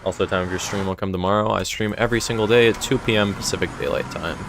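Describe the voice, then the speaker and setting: A man's voice: on the low side, fairly even intonation, fast talker, background noise. A young man chatting casually on a live stream, answering chat and rambling, his voice a little strained. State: voice feeling strained. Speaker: streamer running a game server.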